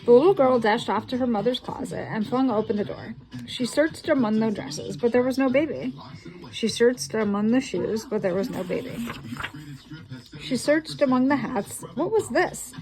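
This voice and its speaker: A woman reading a children's picture book aloud in a slow, expressive storytelling manner. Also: on the high side, soft background music, rough recording.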